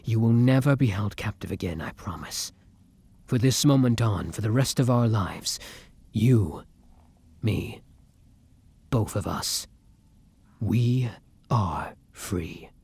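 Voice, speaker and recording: Male actor as gentle vampire sweetheart, weary, courtly, lower-pitched, heavily breathy, whispery, intimate ASMR roleplay. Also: lively intonation, takes it slowly, exaggerated voice. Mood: tender, reassuring. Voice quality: breathy, often dropping into whispers. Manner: gentle, courtly, earnest endearments. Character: tender, weary male vampire lover on the run.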